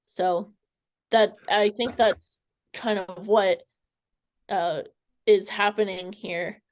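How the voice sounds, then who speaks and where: A woman's voice: mid-range voice, fairly even intonation, slow. A woman interviewer chatting casually, tentative and conversational as she feels her way through a thought.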